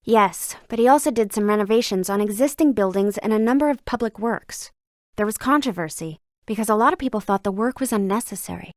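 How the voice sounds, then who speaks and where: An actress voicing a brisk, learned museum curator in a video game, speaking in an articulate, scholarly and sardonic way. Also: female voice, mid-range voice, no echo, studio quality, lightly acted. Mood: matter-of-fact. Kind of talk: scripted video game dialogue.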